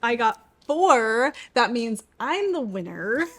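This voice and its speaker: A woman's voice: on the high side, big pitch swings, slow, fairly bright tone. A woman co-hosting a book channel, chatting casually and playfully with emphatic enthusiasm. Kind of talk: casual playful chat, book guessing game.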